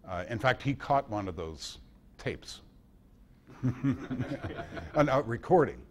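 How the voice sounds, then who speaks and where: An American man giving an informal talk and answering questions, hesitant and thoughtful, often pausing as he tells his anecdotes. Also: mid-range voice, lively intonation, a little mellow, slight background noise. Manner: hesitant, thoughtful, anecdotal delivery with long pauses. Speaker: guest speaker and author at a club meeting. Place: indoor club meeting.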